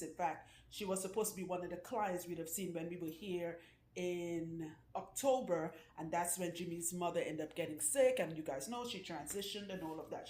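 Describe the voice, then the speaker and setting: A woman's voice: fairly low pitch, a little mellow, slight background noise. A woman loc stylist hosting her channel, chatting casually and jokingly with viewers while she works on a client's hair in a salon room. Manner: chatty, jokey, outspoken. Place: indoor salon room with a couch. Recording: roomy indoor sound.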